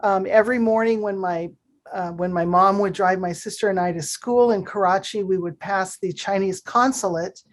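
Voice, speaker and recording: A woman raised in Pakistan, answering interview questions in a reflective, measured way with frequent fillers. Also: medium pitch. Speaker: guest.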